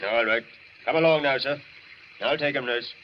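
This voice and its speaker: An actor playing a blunt, uneasy asylum attendant with slangy, brusque speech in 1940s radio style. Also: male voice, medium pitch, normal speed, close to an everyday voice. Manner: plain-spoken, slangy, brusque. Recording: muffled, noisy 1940s radio recording.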